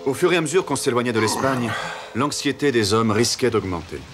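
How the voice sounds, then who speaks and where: Brief: male actor as assured seafaring admiral for a historical cartoon, measured explanatory delivery, prominent music underneath. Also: medium pitch, animated, close to an everyday voice. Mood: grave narration. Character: calm, confident male explorer and ship's admiral. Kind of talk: French animated history series dubbing, partly narrated. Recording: music behind.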